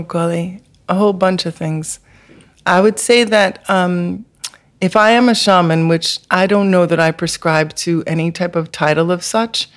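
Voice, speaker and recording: A woman being interviewed as a therapist, telling a personal story in an earnest, candid, spiritual way, with many "like" and "um" fillers. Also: on the low side. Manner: frequent "like" and "um" fillers. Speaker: guest therapist.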